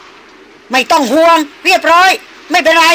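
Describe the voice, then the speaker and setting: A woman voicing an aristocratic male expedition leader who shouts firmly over a storm. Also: very high voice, a little slow, a little hiss or hum, pitched above the performer's own voice, heavily acted. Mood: urgent shouting. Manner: shouting, firm.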